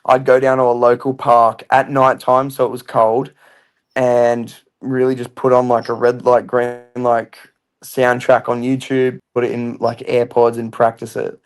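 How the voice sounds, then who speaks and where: Chatty young man, loud voice. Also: mid-range voice, completely flat delivery, measured pace, clean tone. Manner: chatty, upbeat, says "I feel like" a lot. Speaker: reality show contestant, guest. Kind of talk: casual podcast interview.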